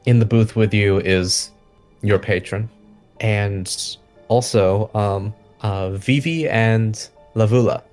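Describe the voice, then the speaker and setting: A man with a fairly low voice runs a tabletop game, narrating scenes and dropping in casual asides. Faint music plays behind him. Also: slow, a little mellow. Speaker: game master.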